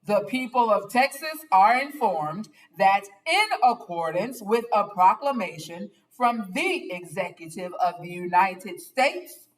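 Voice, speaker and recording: A woman reads a picture book aloud as a storyteller, taking her time over each phrase. Her delivery is expressive and dramatic, with deliberate weight on the words. Her voice is mid-pitched and sits a little way from the microphone. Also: recorded from a distance, poor audio. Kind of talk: expressive children's read-aloud narration.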